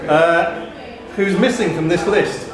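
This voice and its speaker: A man's voice: sits high, takes it slowly. A man hosting a live pub quiz, reading questions and chatting off the cuff with the players. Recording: echoey noisy pub, crowd chatter.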